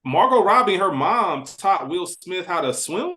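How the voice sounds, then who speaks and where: High-pitched man chatting casually. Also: sing-song, moderate pace, sharp tone. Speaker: podcast panelist. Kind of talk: casual podcast chat.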